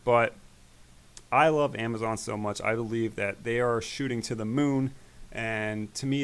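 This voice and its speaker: A man hosting an investing channel, talking casually through his stock portfolio in a rambling way, his voice growing raspy. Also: lowish voice. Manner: relaxed, rambling, with fillers. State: voice getting raspy. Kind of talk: casual screen-share stock commentary.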